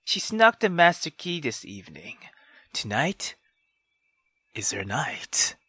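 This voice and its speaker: Male let's player, informal and expressive, unhurried delivery with lively pitch, reading a story game aloud. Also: breathy edge. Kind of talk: reading a visual novel aloud with reactions.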